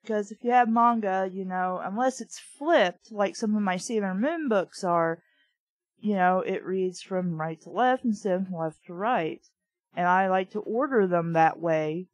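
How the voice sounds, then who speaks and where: A young adult woman hosts a casual read-aloud with her own commentary. Her delivery is blunt and opinionated, at an unhurried pace. The sound is dry and close, with no room echo. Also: mid-range voice. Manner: blunt, opinionated, swears freely. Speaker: host reading a children's book aloud with commentary.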